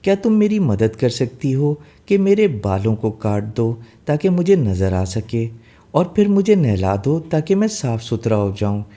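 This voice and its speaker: A man's voice: medium pitch, big pitch swings, a little slow, dark tone, voice pushed up. A man voicing a small shaggy dog in a storybook tale, polite and earnest. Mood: pleading.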